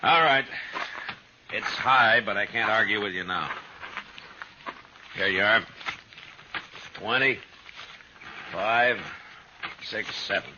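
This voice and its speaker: An actor playing a calm, laconic frontier marshal who also narrates, dryly wry and authoritative, in a 1950s radio western. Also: male voice, fairly low pitch, slow pace, long pauses, noisy background. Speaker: lead character and narrator. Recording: muffled 1950s radio recording.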